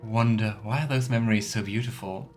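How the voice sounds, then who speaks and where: A man's voice: fairly low pitch, fairly even intonation, a little fast, darkish tone, soft background music. A man doing casual let's play commentary, thinking aloud and musing as he role-plays.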